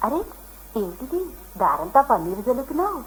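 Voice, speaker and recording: An actress playing a concerned female companion in a radio drama, questioning and gently scolding. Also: a little high, big pitch swings, brisk, a little hiss or hum, rough recording. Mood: chiding. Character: concerned, chiding younger female companion of old hermit. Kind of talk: Indian radio drama acting.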